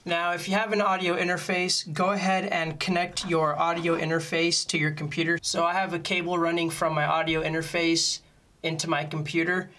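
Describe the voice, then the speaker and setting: A man's voice: fairly high pitch, flat delivery, a little fast, bright, forceful tone. A man giving a casual step-by-step tutorial in a plain-spoken, explanatory way. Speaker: music gear tutorial presenter.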